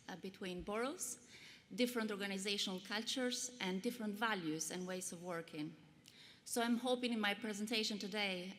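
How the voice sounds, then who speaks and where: A woman in her forties with an Albanian accent, giving an earnest, measured conference pitch with frequent fillers. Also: a bit bright. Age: 40s. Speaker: pitch presenter. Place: large conference hall. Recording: long hall reverb, faint noise.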